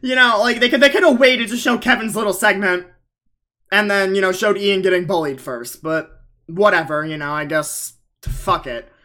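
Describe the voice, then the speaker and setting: A man's voice: sits high, moderate pace, sharp tone. A young man co-hosting a casual recap, rambling and swearing, slipping into mocking impressions. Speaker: podcast co-host.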